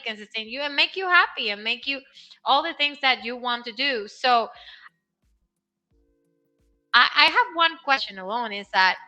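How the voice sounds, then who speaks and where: Bright-voiced, gushing woman host. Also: a little high, low-quality recording. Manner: enthusiastic, gushing. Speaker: podcast host. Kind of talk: interview introduction and questions.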